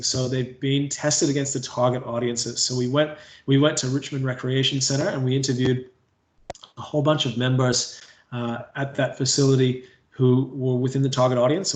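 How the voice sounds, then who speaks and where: A man's voice: medium pitch, flat delivery, normal speed, reverberant. A man from Canada with a Canadian accent, presenting a staff training webinar on water safety, with frequent ums, uhs and restarts. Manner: hesitant, many fillers and restarts.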